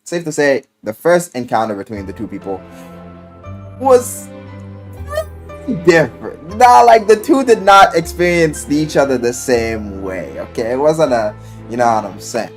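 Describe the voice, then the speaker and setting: A man telling a story casually in slang and swearing, as an animated video game reviewer who acts out what people say. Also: medium pitch, measured pace, soft background music. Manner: animated storyteller, acting out quoted lines.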